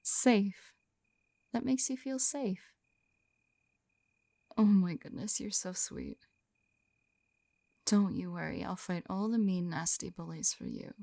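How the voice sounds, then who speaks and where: A young woman playing a gentle, shy lamia on a first date in an intimate ASMR roleplay, soft-spoken and often whispering, hesitant and tender, close to the microphone. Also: slow pace, long pauses, no room sound. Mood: touched, playful. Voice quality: soft, breathy whispering mixed with low murmurs. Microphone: close-up microphone, dry sound.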